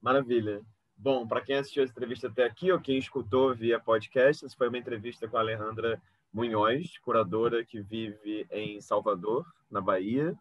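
A man's voice: mid-range voice, fairly even intonation, normal speed, no room sound. A man in his thirties with a Brazilian accent, talking casually as an interview host on a video call. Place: indoor room with bookshelves, video call. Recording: dry, dull video call audio.

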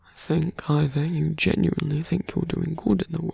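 A man playing a seductive, embittered slum-born villain in a fantasy ASMR roleplay, speaking slowly and intimately in a breathy, gravelly half-whisper. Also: a little high, fairly even intonation, mellow, dry recording, heavily acted. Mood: seductive, knowing. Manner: slow, intimate, half-whispered, deliberate pauses.

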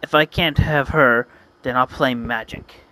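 A man voicing a jealous, spiteful rival who steals brides, with drawn-out, menacing declamation in an amateur anime-style story. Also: mid-range voice, steady tone, very noisy, voice pushed down, natural voice. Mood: bitter.

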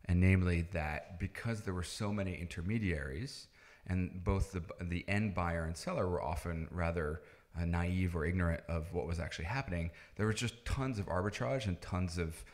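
A man's voice: low-pitched, normal speed, few pauses. A man in his thirties from the Netherlands, a native Dutch speaker, explaining things conversationally as a podcast guest. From Netherlands, moved to the US at nine. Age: 30s. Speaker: podcast guest, tech founder. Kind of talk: conversational interview answers, explaining.

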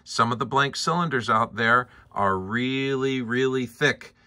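A man with a bright, forward voice talks through a practical tutorial. His delivery is casual and methodical, going one step at a time, recorded indoors at a workbench. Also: mid-range voice, measured pace. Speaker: presenter of a hands-on tutorial. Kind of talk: casual instructional demonstration. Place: indoor workshop bench.

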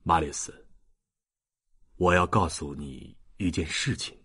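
A man voicing a sorrowful old ex-convict in an audiobook, solemn and halting, with a husky voice. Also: low-pitched, a bit bright, no room sound, clean studio sound, pitched below the performer's own voice, full character voice. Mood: grave, confessing. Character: sorrowful old male ex-convict, grave, confessing.

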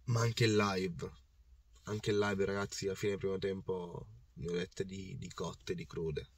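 A man in his thirties with a worn-out, hoarse voice, passionately recapping a football match to camera. He rambles, repeats himself and restarts his sentences, and speaks from a parked car. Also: deep voice, flat delivery, unhurried, no room sound, recorded from a distance. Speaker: football fan vlogger. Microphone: phone or camera on the dashboard.

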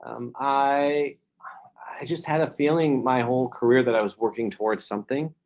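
A man's voice: mid-range voice, steady tone, moderate pace, lo-fi sound. A man, a company chief executive, answering questions on a conference call in a reflective way, with many fillers and restarts. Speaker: company CEO, keynote guest.

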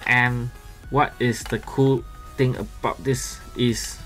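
A man gives casual, halting unboxing commentary as a gadget reviewer. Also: mid-range voice, level tone, fairly bright tone. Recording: music playing behind the voice.

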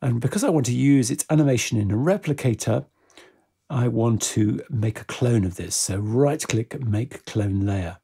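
A man presenting a software tutorial step by step, in a methodical, informal way. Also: mid-range voice, gravelly, husky.

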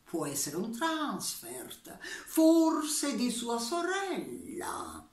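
A woman voicing a pompous doctor who explains things in a measured, lecturing way. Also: fairly high pitch, huge pitch swings, heavily acted. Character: pompous know-it-all doctor. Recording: echoey room.

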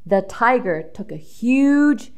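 A woman's voice: on the high side, animated, takes it slowly, clear, resonant tone. A woman reading a children's storybook aloud with dramatic emphasis, drawing out key words for young listeners.

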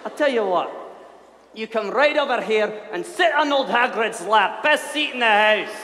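A man playing a big, shaggy, gruff but affectionate groundskeeper in a comedic staged reading, loud and folksy. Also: high voice, animated, moderate pace. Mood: doting, concerned. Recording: echoey hall, live audience, faint noise.

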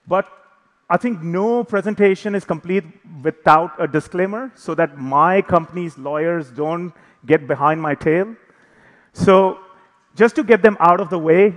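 A man in his thirties giving a conference talk on stage, conversational and self-deprecating with light jokes. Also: on the high side. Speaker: conference speaker. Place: large conference hall on stage. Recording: reverberant hall.

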